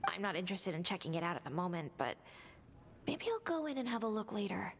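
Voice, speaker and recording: A woman voicing a casual townswoman in a video game, speaking in an offhand, relaxed way. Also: mid-range voice, fast, gravelly, a little hiss or hum.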